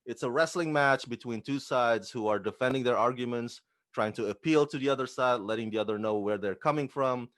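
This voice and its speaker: A male interviewer with a medium-pitched voice presents prepared questions to guests. His delivery is deliberate and courteous, and the recording is dry, free of room echo. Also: a bit bright. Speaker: film interviewer, host.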